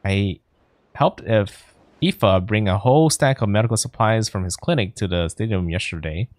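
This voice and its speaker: A man's voice: fairly low pitch, moderate pace, no echo, lightly acted. A man voicing a confident, easygoing athletic coach while reading game dialogue aloud, breezy and good-natured.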